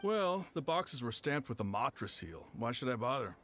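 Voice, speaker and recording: A man's voice: medium pitch, sing-song, normal speed, fairly bright tone, soft background music. A man playing a casual, defensive gate guard in an anime game. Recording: faint background ambience noise.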